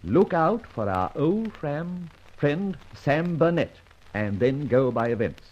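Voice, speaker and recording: An actor playing a dashing, breezy gentleman adventurer in an old-time radio detective drama. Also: fairly high pitch, sing-song, slow, heavily acted. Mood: brisk, confiding. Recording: dull, lo-fi old radio recording, faint music.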